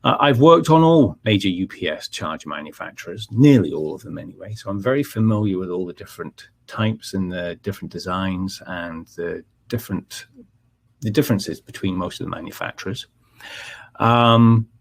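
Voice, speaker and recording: A middle-aged man with a Scottish accent opens a live technical webinar in a very low, gravelly voice with a husky edge. He talks in a loose, conversational way, filling pauses with ums and restarting his sentences. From Scotland. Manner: conversational, many fillers and restarts. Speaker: webinar presenter, technical manager.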